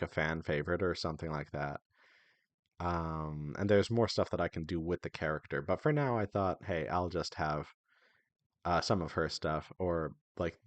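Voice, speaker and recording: A man's voice: low voice, no echo. A man giving a casual, unscripted devlog update, thinking aloud in a rambling, hesitant way. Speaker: indie visual novel developer.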